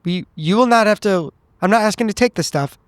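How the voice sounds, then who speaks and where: A man roleplaying as a player in a tabletop game, speaking in a measured, polite, deliberate way. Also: high-pitched, dry recording, studio quality.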